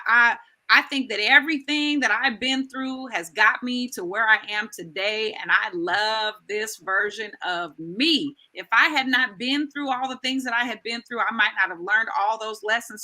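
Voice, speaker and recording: A woman in her late forties co-hosting a casual live talk show. Her voice is strikingly bright and sits a little high. She talks emphatically, hammering points home by repeating her phrases. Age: 40s. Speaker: co-host. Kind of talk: casual chat.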